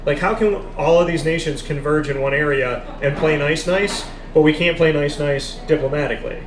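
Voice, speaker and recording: A man's voice: fairly high pitch, moderate pace, crowd noise. A man hosting a live sports talk, speaking emphatically and repeating his points for stress. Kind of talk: live casual sports discussion. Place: café. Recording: echoey café with background noise.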